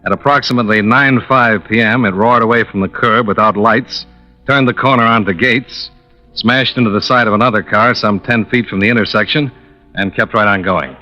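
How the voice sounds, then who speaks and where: A man's voice: on the low side, fairly quick, sharp tone, faint music behind. An actor playing a cool, businesslike American insurance investigator in a 1950s radio detective drama, clipped and dry in his narration. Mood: dry, matter-of-fact. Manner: clipped, dry, matter-of-fact. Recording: muffled old-time radio recording.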